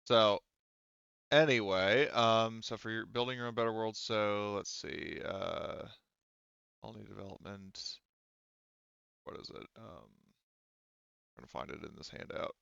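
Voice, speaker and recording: A man running a tabletop roleplaying game as game master, talking casually and haltingly as he thinks aloud. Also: on the low side, vocal fry, a bit bright, clean tone, dry recording, highs cut off.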